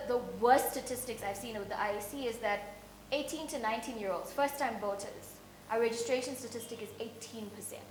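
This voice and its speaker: A woman's voice: on the high side, a little hiss or hum. A woman on a panel arguing pointedly with rhetorical questions. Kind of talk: panel discussion. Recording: echoey room.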